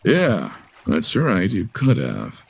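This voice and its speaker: A man's voice: sits low, wildly swooping pitch, takes it slowly, creaky, exaggerated voice. A man playing a cocky, menacing 1950s smuggler in a comedy audio drama, with taunting tough-guy talk. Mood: menacing, wary.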